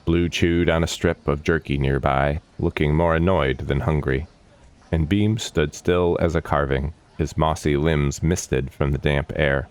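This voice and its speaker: A man narrating a scripted dark fantasy story in a measured, solemn and dramatic manner. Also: a bass voice, fairly even intonation. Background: background music.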